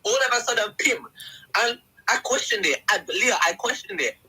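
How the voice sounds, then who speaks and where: Husky, bright young man. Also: high voice, moderate pace, low-quality recording. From England. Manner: animated storyteller who acts out quotes. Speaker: guest. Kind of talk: casual podcast testimony.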